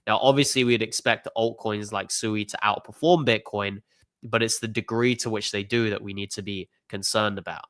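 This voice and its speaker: A man's voice: lowish voice, fairly even intonation, normal speed, fairly bright tone, no room sound, clean studio sound. A man hosting a crypto channel, talking through charts in a casual, conversational way, his voice a bit off from the flu, with lots of "you know" and "okay?". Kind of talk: casual chart analysis monologue.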